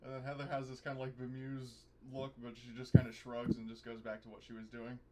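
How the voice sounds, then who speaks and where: A man's voice: mid-range voice, monotone, fast, darkish tone, rough recording. A man casually improvising narration in a tabletop roleplaying game, tentative and meandering in his delivery. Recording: faint background noise.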